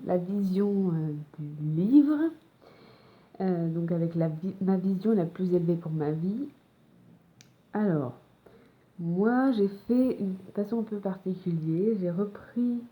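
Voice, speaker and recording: A young woman from France with a French accent talks slowly and hesitantly to her camera, stopping often on fillers and restarts. Her voice is very dark and mellow, pitched a little low. It sounds like a casual home vlog. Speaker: vlogger. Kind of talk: personal casual talk to camera. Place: home in Montreal.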